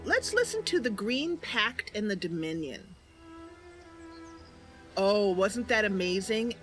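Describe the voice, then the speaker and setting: Animated woman streaming a game. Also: mid-range voice. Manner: chatty, enthusiastic. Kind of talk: casual game stream commentary. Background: faint music.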